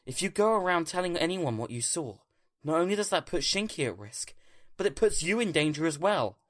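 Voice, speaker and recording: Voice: mid-range voice, big pitch swings, brisk, natural voice. A performer playing an earnest, guilt-ridden young agent in a fan audio drama, anxious but resolute, sighing and pleading. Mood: alarmed, protective.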